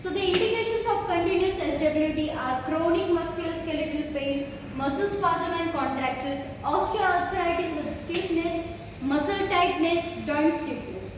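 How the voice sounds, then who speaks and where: A woman's voice: very high-pitched, moderate pace. A young woman with an Indian accent gives a formal, prepared educational presentation, reciting it point by point as a student presenter. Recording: very echoey room, distant mic, noisy.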